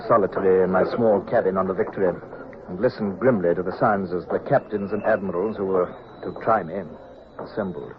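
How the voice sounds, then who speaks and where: An actor playing a weary, proud British naval captain, narrating his past in a measured, grave English manner for radio drama. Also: low-pitched, fairly even intonation, background noise, natural voice. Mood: grave, reflective. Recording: dull old radio recording, faint music.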